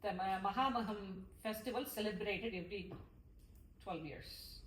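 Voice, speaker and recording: A woman's voice: medium pitch, moderate pace. Woman lecturing in an echoey room. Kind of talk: lecture read from notes with some informal asides. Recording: echoey room, distant mic, faint noise.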